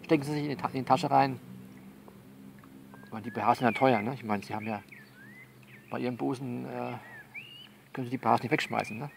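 A male actor plays a man coaching someone over the phone in an audio drama. His voice is gravelly and mid-pitched, with faint noise behind it. He reassures and bosses in turn, in halting, repeated instructions. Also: steady tone. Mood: bossy, coaxing. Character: bossy, soothing male confidant coaching a nervous woman. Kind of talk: one-sided phone conversation in an audio novel.

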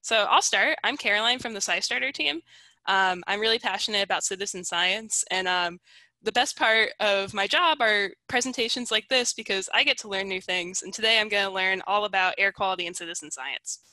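Bright, bubbly woman hosting a webinar. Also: mid-range voice, a little fast, dry recording. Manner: bubbly and encouraging. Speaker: webinar host. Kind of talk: upbeat webinar moderation. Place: home video call. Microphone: headset.

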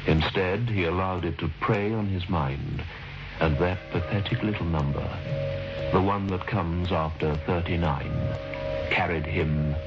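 A man playing a solemn, wry storyteller, narrating a radio suspense tale with measured, dramatic pauses. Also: low voice, fairly even intonation. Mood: ominous, wry. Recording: dull vintage radio recording over music.

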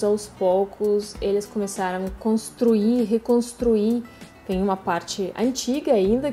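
A woman in her thirties from Russia, speaking with a Russian accent in a chatty, animated vlog, talking to camera from her sofa at home. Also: a little high. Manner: chatty, animated, laughing asides. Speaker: vlogger. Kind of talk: casual home-tour vlog. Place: living room on a sofa, indoors.

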